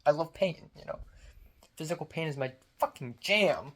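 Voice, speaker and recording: A man's voice: on the high side, expressive, moderate pace, fairly bright tone. A young man from Hong Kong speaking in a casual, late-night diary vlog. His throat is sore and strained, and he rants with sarcasm, swearing and self-mockery. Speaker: vlogger.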